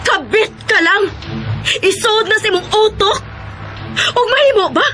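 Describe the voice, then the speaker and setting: A very high-pitched Filipino wife and mother with a Cebuano accent, devoted yet fiery. Her delivery is melodramatic radio drama, with music playing clearly underneath. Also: animated, measured pace, pushed and bright, exaggerated voice. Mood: furious, wounded. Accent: Filipino, Cebuano-speaking.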